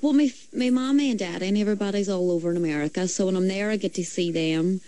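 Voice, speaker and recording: Mellow-voiced woman with a Derry accent. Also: mid-range voice, fast talker, no room sound. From Derry, Northern Ireland. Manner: chatty, rambling, with many fillers and restarts. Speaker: singer guest. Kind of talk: casual radio interview chat. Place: radio studio.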